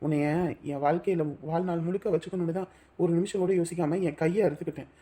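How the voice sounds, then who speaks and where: A young man, as an actor plays him, reassures his partner in quick, forceful bursts. He is brash and protective, scolding her while promising to stand by her. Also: a little high, steady tone, lightly acted. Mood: reassuring. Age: young adult.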